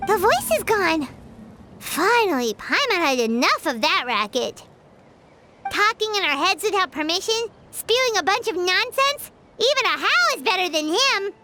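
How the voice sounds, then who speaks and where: A tiny childlike fairy sidekick for a game: extremely high voice, swooping delivery, bossy and excitable. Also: female voice, moderate pace, soft background music, full character voice. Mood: sceptical, dismissive. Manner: speaks of herself in third person, exclaims. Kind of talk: video game voice acting.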